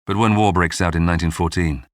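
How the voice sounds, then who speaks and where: A man hosting a history programme, reading scripted documentary narration in a measured, polished storytelling way. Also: low-pitched, steady tone, no room sound, clean studio sound. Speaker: podcast narrator and host.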